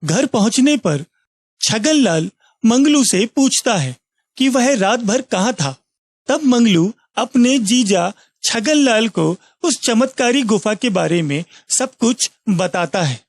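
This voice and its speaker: A man's voice: extremely high pitch, animated, takes it slowly, no room sound. A man narrating a scripted moral story for children as a storyteller. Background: music.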